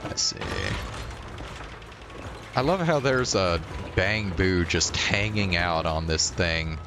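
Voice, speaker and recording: Male game streamer, lowish pitch, unhurried casual delivery, blunt and sweary, music underneath. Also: expressive. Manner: frequent profanity, blunt. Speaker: livestreamer. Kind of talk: casual live gaming commentary.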